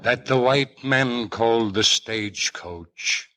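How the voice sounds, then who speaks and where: An actor narrating an old-time radio Western as a solemn storyteller, in a poetic, declamatory style. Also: male voice, mid-range voice, slow, sharp tone, rough recording. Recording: old radio recording, music underneath.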